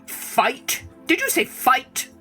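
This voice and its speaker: A woman's voice: high-pitched, very animated, slow, over loud music, pitched above the performer's own voice, full character voice. A woman voicing a proud, blustering male lion in a storybook reading, with a roaring, pompous manner. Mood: indignant.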